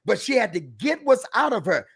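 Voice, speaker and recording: A man's voice: high voice, big pitch swings, fairly quick, gravelly, a bit bright, breathy edge. A man as a guest author giving emphatic, sermon-like advice, calling out to the audience with rhetorical questions.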